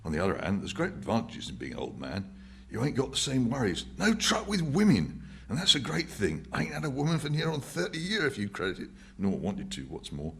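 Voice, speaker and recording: A man's voice: medium pitch, wildly swooping pitch, a little fast, gravelly, fairly bright tone, breathy edge, pitched above the performer's own voice, full character voice. A man voicing a garrulous elderly working-class Londoner, reminiscing in colloquial speech in a novel reading. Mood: mellow, philosophic. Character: rambling old working-class Londoner in a pub.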